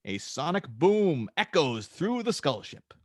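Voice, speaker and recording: A man's voice: on the high side, very animated, measured pace, no echo, studio quality. A man reading stage directions as the narrator of a dramatic superhero script table read.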